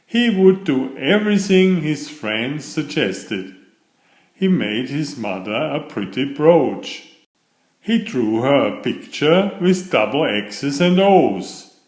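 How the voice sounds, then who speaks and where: A man's voice: mid-range voice, big pitch swings, takes it slowly, a little mellow. A man narrating a children's picture book as a storyteller, reading aloud in a roomy, echoing space. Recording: roomy echo.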